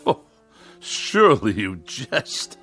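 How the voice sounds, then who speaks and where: Snobby cranky writer in a radio play, super wordy and sarcastic, pitch swooping around, bit of music behind. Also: male voice, sing-song, slow, fairly bright tone, slightly rough, exaggerated voice. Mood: sneering, impatient. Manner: pompous, wordy, sneering sarcasm. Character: haughty, irascible male writer who despises machines. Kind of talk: radio drama acting.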